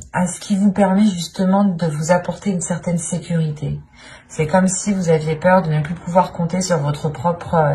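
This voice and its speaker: Low-pitched woman reading tarot over noise. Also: darkish tone. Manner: hesitant searching delivery, often repeats phrases. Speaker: tarot reader, channel host. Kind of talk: improvised tarot card reading commentary.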